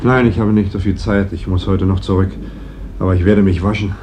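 A man's voice: low-pitched, steady tone, a little fast, close to an everyday voice. A man playing a plain-spoken visiting worker in a radio play, asking short, probing questions. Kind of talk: German radio play acting. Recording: muffled, noisy old radio recording.

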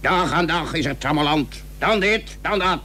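A stern working-class father with a sharp, forceful voice. He grumbles like a man at the end of his patience, in the manner of old radio drama, over light hiss. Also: fairly high pitch, expressive, normal speed. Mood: exasperated. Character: gruff, exasperated working-class father, a cooper. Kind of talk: Dutch radio play acting. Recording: hissy old radio play recording.

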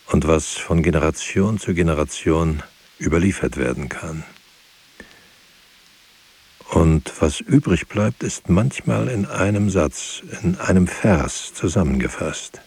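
An actor playing a brooding, weary writer who speaks poetic radio drama monologue in a deliberate, halting way. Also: male voice, heavily acted. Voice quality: gravelly, husky, low. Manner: deliberate, halting, hushed at times. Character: brooding, weary male writer pondering word and truth.

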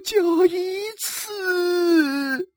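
High-voiced, wailing, tearful wife. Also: female voice, a little mellow, dry recording, full character voice. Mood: tearful confessing. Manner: drawn-out sobbing lament, faltering. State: crying. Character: wailing, wronged, frightened wife and mother.

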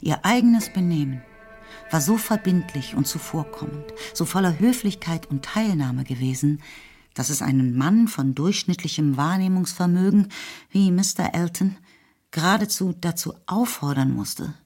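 Low-voiced woman narrating a literary radio drama. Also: expressive, moderate pace, a little mellow. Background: music.

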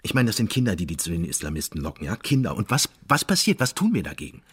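Husky, cynical investigator. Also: male voice, deep voice, wildly swooping pitch, a bit bright, exaggerated voice. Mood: brooding, insistent. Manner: insistent, blunt, halting repetitions when worked up. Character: agitated, cynical male state security investigator in therapy. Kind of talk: naturalistic German radio drama acting.

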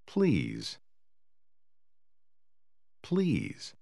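Slow, carefully enunciating male model speaker. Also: lowish voice, gravelly, no room sound. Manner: slow, carefully articulated, very lively intonation. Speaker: language course model speaker.

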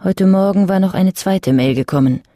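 A woman's voice: mid-range voice, normal speed, dark tone, no echo, studio quality, lightly acted. A woman as the sober, factual narrator of a crime thriller radio drama.

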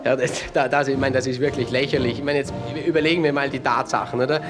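A man acts out an irritable provincial bandleader in a mock radio interview. His medium-pitched voice stammers and restarts, evasive and dismissive throughout. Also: steady tone, fast talker, natural voice. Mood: indignant, flustered. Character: evasive, irritable male small-town brass band conductor. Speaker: brass band conductor being interviewed.

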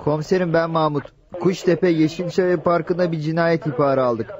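A man's voice: fairly high pitch, steady tone, moderate pace, a little mellow, natural voice. An actor playing a businesslike patrol policeman in a radio drama, making formal, clipped reports. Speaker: police officer. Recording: dull, muffled radio drama recording.

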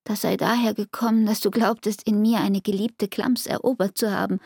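An actress playing a hurt young barmaid in a radio play, speaking intimately and deliberately in a breathy, hushed voice. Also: female voice, mid-range voice, steady tone, dry recording, studio quality. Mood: hurt, accusing. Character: Frieda, hurt young barmaid reproaching her lover. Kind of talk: radio play acting, literary monologue.

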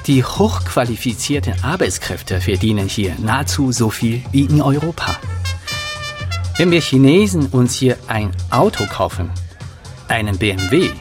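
A man playing a proud, confident Chinese manager in a documentary-style radio drama monologue. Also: mid-range voice. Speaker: business manager.

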